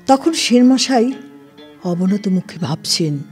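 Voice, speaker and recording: Slow, deliberate woman storyteller. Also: mid-range voice, expressive. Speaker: story narrator. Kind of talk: scripted literary narration. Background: soft plucked-string music.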